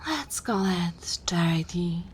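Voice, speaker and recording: A woman playing a haughty, teasing vampire mistress in an ASMR roleplay, speaking formally and imperiously in a soft, breathy way. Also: on the low side, animated, slow pace, slight background noise. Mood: haughty, exasperated. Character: haughty, teasing female vampire mistress, possessive but willing to share.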